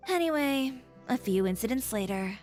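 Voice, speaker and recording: Woman playing confident young tailor lady, cool and blunt, soft game music behind. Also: on the high side, lively intonation, normal speed, lightly acted. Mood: composed. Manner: cool, assertive and matter-of-fact. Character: headstrong young woman tailor, frank and proud.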